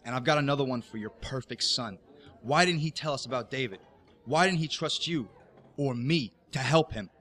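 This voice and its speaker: A young actor playing a resentful, distrustful teenage schoolboy in a naturalistic web drama. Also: male voice, mid-range voice, moderate pace, fairly bright tone, natural voice. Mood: bitter.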